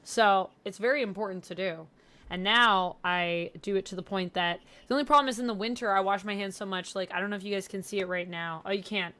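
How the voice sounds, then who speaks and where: A woman's voice: medium pitch, brisk, pushed and bright, smooth. A young woman, a bit sniffly, chatting casually and telling rambling stories while she colors on a livestream. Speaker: YouTuber host.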